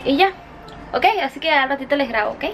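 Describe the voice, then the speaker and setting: A woman's voice: high-pitched, fairly quick, fairly bright tone, faint music behind. A young woman chats casually and confidingly to her vlog viewers, often addressing them directly, into a camera at arm's length. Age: young adult. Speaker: vlogger. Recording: clear background noise, handheld camera.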